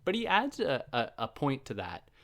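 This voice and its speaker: A man talks through a philosophy text in a relaxed, chatty way, as if explaining it to a friend. He jokes at his own expense and slips into side points before coming back on track. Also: mid-range voice, moderate pace. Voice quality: soothing voice. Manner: chatty, self-deprecating, goes off on tangents. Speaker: philosophy podcast host. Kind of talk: casual explanatory lecture.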